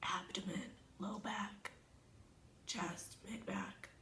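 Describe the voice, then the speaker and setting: A hoarse woman giving a reflective self-help talk as a wellness coach, speaking softly and meditatively and often dropping to a whisper. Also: medium pitch, animated, very slow. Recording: echoey room.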